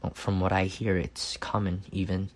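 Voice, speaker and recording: A man acting a reserved, awkward and earnest best friend in an intimate roleplay, speaking softly and haltingly. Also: sits low, little pitch movement, a little hiss or hum. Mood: worried, pleading. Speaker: voice actor.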